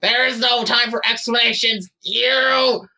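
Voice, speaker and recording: Man putting on a shrill, outraged cartoon teacher. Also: fairly even intonation, measured pace, creaky, bright, forceful tone, far from the mic, a little hiss or hum, heavily acted, poor audio. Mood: outraged, heartbroken. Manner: stammering, shrill outbursts. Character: distraught jilted male teacher, shrieking cartoon lover.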